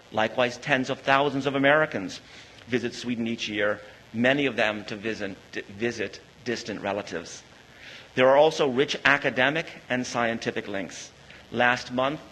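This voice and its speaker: A man serving as ambassador, delivering a formal prepared speech in a measured, diplomatic way. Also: lowish voice, fairly bright tone, low-quality recording.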